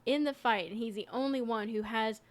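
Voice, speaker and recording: A woman's voice: on the high side, fairly quick. A woman co-hosting a podcast, explaining things in an earnest, informal storytelling way. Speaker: podcast co-host. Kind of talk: casual conversational explaining.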